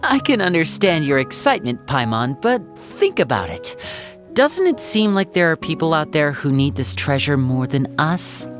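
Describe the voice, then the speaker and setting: A woman voicing a calm, considerate, gently amused adult in a fantasy game, polite and thoughtful. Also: deep voice, normal speed, over music. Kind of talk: video game character acting.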